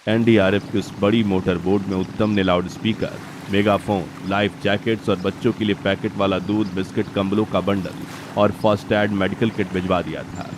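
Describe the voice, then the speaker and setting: Low-pitched man narrating a dramatic story. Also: little pitch movement, moderate pace, hardly any pauses, noisy background.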